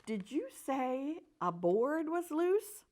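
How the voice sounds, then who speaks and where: A woman's voice: high voice, big pitch swings, pitched above the performer's own voice, exaggerated voice. A woman voicing a timid, hesitant young male pig. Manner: hesitant, slow and unsure.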